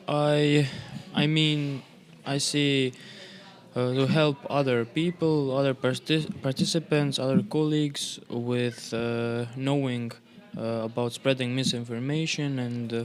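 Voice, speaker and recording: A young man from Croatia, speaking haltingly in a podcast discussion and searching for words. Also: mid-range voice. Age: young adult. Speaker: student.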